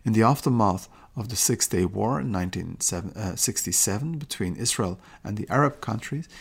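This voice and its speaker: Gravelly-voiced man lecturing in a podcast. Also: lowish voice. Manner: measured, explanatory delivery with occasional restarts; quotes passages aloud. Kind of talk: lecture-style podcast narration.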